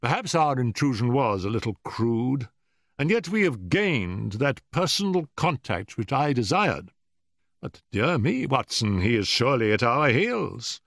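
A man's voice: medium pitch, big pitch swings, a bit bright, no echo, voice pushed up. A man voicing an urbane, unflappable male detective in an audiobook story, speaking politely with dry amusement. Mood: amused. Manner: polite, measured, wry.

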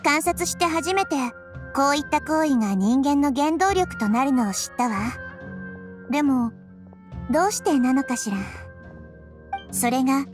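Gentle, high-voiced little girl sage. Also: animated, music in the background. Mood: pensive. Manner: gentle, thoughtful, measured feminine speech. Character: small childlike wise girl deity, gentle, curious. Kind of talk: Japanese anime game voice acting.

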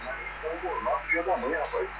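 A man's voice: on the high side, brisk, lo-fi sound. A man with a Brazilian Portuguese accent chatting casually, heard over a shortwave radio. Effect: received over shortwave radio. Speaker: amateur radio caller. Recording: very noisy crackling shortwave radio reception.

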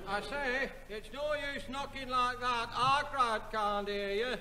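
An actor playing a comical country yokel in 1960s radio sitcom style. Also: male voice, very high voice, a little slow, heavy background noise. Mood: matter-of-fact. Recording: old radio, studio audience behind.

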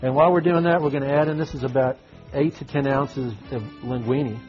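A man's voice: mid-range voice, steady tone, brisk, dull audio, faint music behind. A middle-aged man chef giving a live cooking demo in a television studio kitchen, talking casually with lots of fillers while he works, on a lapel mic. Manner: folksy, talks while cooking with frequent fillers. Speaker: restaurant chef.

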